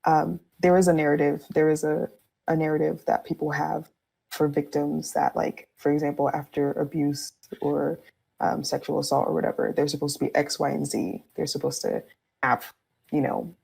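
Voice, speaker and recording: A woman with a low, husky voice and plenty of vocal fry talks casually on a podcast. She explains her views candidly, in loose, informal phrasing. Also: level tone, moderate pace, warm tone.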